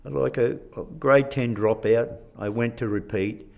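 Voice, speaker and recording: A man in his sixties with an Australian accent, speaking plainly and haltingly in a documentary interview as a citizen juror. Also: medium pitch, steady tone, creaky, narrow, tinny audio. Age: 60s. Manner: plain-spoken, halting, with fillers.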